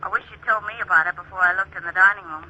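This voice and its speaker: An actress playing an earnest, anxious, affectionate sweetheart in a 1940s radio crime drama, as if on the telephone. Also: female voice, lowish voice, fairly even intonation, very fast, bright, forceful tone. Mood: questioning. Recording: thin, muffled old radio telephone voice.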